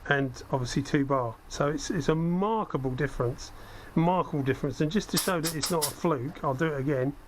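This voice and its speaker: A man with a slightly croaky, cold-affected voice giving a casual hands-on demonstration, thinking aloud as he works. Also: on the high side, a little fast, hardly any pauses, rough recording. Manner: conversational, self-deprecating asides, thinking aloud while working. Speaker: hobbyist host demonstrating spray gun equipment. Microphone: head-mounted action camera. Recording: steady background noise from workspace.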